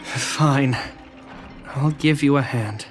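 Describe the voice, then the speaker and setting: A man performs a dutiful young brother from a video game, with a medium-pitched voice. He is earnest and a little reluctant, speaking with dry, matter-of-fact restraint. Quiet music plays underneath. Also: slow pace, lightly acted. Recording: studio voice over background music. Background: game background music.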